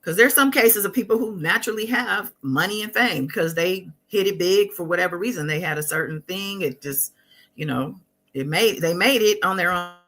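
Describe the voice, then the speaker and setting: Bright-voiced woman chatting on a live stream. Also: fairly low pitch. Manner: conversational, halting, thinking aloud. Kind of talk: casual live commentary, reading and answering viewer comments.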